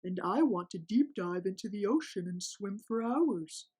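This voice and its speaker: Woman as a husky, majestic male albatross. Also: medium pitch, lively intonation, a little slow, a little mellow. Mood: amused, wry. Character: majestic giant male albatross, amused and indulgent.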